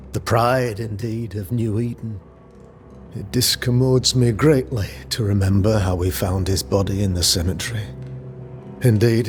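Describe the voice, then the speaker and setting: An actor playing a solemn, self-regarding older town governor in a video game, speaking in formal, measured, archaic phrasing. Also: male voice, lowish voice, lightly acted. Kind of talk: dramatic video game acting. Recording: music under the voice.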